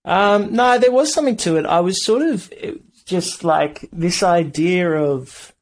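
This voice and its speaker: Australian man, band guest, gravelly, higher pitch, hesitant and thoughtful. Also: a little slow. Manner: hesitant, thoughtful, lots of fillers. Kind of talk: casual interview chat.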